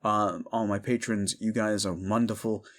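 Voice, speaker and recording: A man's voice: lowish voice, flat delivery, normal speed. A man in his thirties chatting informally to his listeners as the host of an audio drama channel, in a rambling, unscripted way. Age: 30s. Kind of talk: casual rambling outro to listeners.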